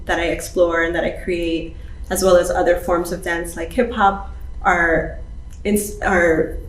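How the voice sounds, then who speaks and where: A woman's voice: fairly low pitch, distant mic, a little hiss or hum. A woman from New York, a choreographer, thoughtfully explaining her work in an interview. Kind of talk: interview talk. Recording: roomy room with faint ambience.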